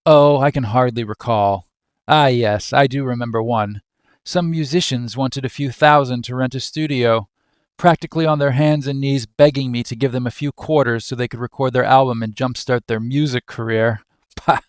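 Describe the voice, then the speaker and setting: A man's voice: mid-range voice, normal speed, clear, resonant tone, dry recording, clean studio sound, natural voice. A man playing a pompous, stern and scornful banker father who blusters haughtily in old-fashioned phrases.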